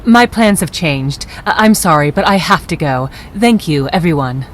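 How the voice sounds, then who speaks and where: Cold, commanding villainess therapist. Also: female voice, deep voice, animated, moderate pace, a little hiss or hum. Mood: uneasy, evasive. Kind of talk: dramatic game cutscene dialogue. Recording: music behind the voice.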